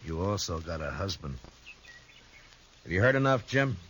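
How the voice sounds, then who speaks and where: Calm, dry frontier lawman, very deep, 1950s radio play. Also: normal speed, slight background noise. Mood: dry, firm. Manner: calm, low and wry. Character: steady, dry-witted male frontier marshal. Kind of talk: 1950s radio drama acting.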